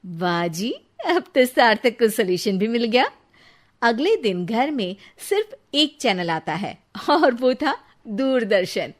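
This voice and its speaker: A woman reading scripted narration for a cartoon moral story in an even storytelling manner. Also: a little high, takes it slowly.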